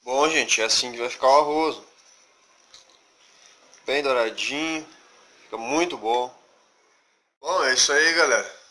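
A man's voice: medium pitch, a little slow, long pauses, sharp tone. A young man from Brazil filming a casual cooking video in a small home kitchen, talking plainly and a bit shyly into a phone camera. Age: young adult. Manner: plain, unpolished, a bit shy. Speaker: home cook vlogger. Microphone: phone camera, arm's length and set on the counter.

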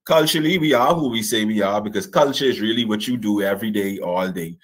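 A Bahamian man in his thirties talks as an interview guest over a video call. His voice is husky, and he explains his points with emphasis, often checking that the listener follows. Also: lowish voice, quick pace. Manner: emphatic, checks in with rhetorical questions. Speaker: guest historian. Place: indoors, in front of a draped backdrop, on a video call.

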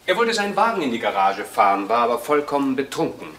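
A man's voice: medium pitch, big pitch swings, fast, a bit bright, slight background noise. An actor playing a male police assistant who reports in an orderly, matter-of-fact manner in a crime drama. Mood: factual. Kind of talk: old German TV crime drama acting.

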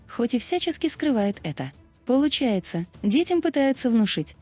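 Lively, high-pitched woman narrator. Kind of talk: scripted video narration.

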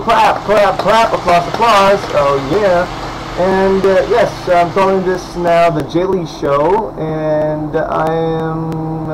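Young male vlogger, hoarse morning voice, slightly high-pitched, chatty and rambling, webcam mic with a noisy background hum. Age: young adult. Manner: rambling, chatty, many fillers and restarts. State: hoarse, just woke up. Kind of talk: casual vlog talk to camera. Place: indoors at home, small room. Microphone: webcam at desk distance.

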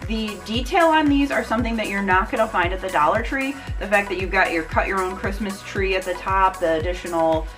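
A woman's voice: mid-range voice, a little fast, few pauses, music in the background. A woman in her thirties chatting casually and enthusiastically, gushing her way through a shopping haul as a vlogger. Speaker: home decor vlogger.

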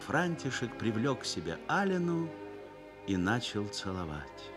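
A man narrating a radio drama in an expressive literary style. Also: mid-range voice, unhurried. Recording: music bed behind narration. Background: music.

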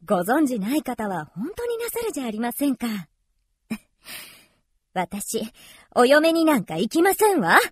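A woman's voice: sits high, very animated, unhurried, long pauses, husky, no room sound, clean studio sound, exaggerated voice. A woman playing a demure, coy unmarried daughter in a radio drama, speaking in a prim, ladylike way. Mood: coy, protesting. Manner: prim, ladylike feminine speech. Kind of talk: Japanese radio drama acting.

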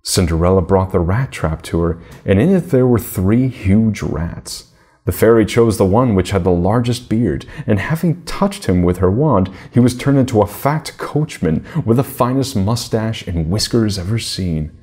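A middle-aged man narrating a children's fairy tale in a measured, deliberate manner. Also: on the low side, animated, dark tone, slightly rough. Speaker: storybook reader.

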